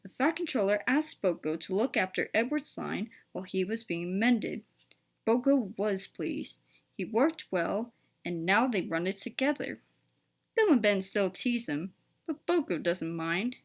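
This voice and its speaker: A woman's voice: mid-range voice, animated, measured pace. A woman reading a children's story aloud as a scripted narration.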